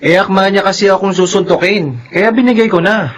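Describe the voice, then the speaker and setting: Man acting an earnest, higher-voiced Filipino son. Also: fairly quick, slight background noise, natural voice. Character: dutiful, earnest younger son eager to please. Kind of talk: Filipino radio drama acting.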